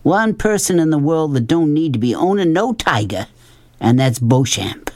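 Blunt Southern woman, acted by a narrator, pitched up. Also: very animated, moderate pace, a little mellow, no echo, close to an everyday voice. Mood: scornful, firm. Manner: folksy, emphatic, matter-of-fact. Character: blunt, devout Southern woman, weary and plain-spoken.

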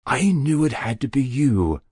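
A man voicing an elderly woman quietly confessing to a long-ago murder, in a raised, lively voice. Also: mid-range voice, a little slow, slightly rough, no echo, studio quality, pitched above the performer's own voice. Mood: pained.

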